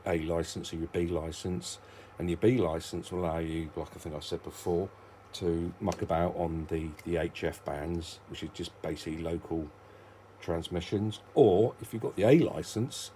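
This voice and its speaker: A man's voice: sits low, darkish tone, a little hiss or hum. A middle-aged British man giving a casual, unscripted hobby demonstration, rambling and hesitant as he interrupts himself. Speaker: hobbyist video presenter.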